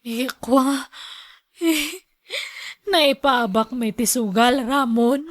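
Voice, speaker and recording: An actress playing a timid, hesitant young wife in a radio drama, speaking with an Ilocano accent. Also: female voice, sits high, extremely slow. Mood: tearful, ashamed.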